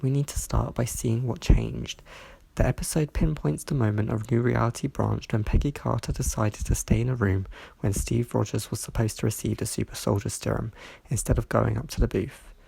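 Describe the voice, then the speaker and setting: Stuffy, gravelly-voiced video reviewer. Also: mid-range voice, mellow, dry recording. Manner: measured, reads from a script with small slips. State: stuffy from hay fever. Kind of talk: scripted review narration.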